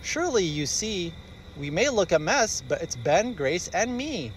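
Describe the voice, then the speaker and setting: Man doing a motherly bear, slightly high. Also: big pitch swings, unhurried, slight background noise, poor audio. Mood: amused. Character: soothing mother bear, motherly, practical, storybook.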